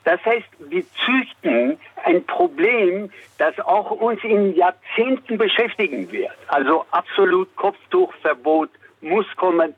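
A man's voice: high voice, a little hiss or hum. Iranian-born man calling in by phone. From Iran. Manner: halting, emphatic, many fillers. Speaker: caller. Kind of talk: call-in opinion. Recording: tinny phone line.

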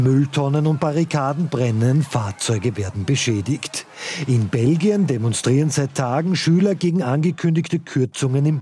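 A male correspondent delivers a news report in a sober tone. His voice is dark and mellow. A faint hum of ambience sits behind him. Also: medium pitch.

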